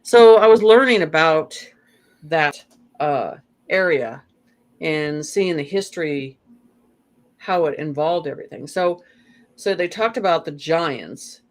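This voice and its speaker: A woman's voice: sits low, lively intonation, takes it slowly, pauses often. A woman talking casually as an interview guest, telling stories in a rambling way with frequent "you know" asides. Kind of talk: casual conversational storytelling.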